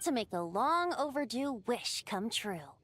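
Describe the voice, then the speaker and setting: A woman's voice: a little high, big pitch swings, a little slow, fairly bright tone, a little hiss or hum. A woman voicing an impish, breezy boyish bard in a video game, in a light, playful, teasing manner. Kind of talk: video game character acting.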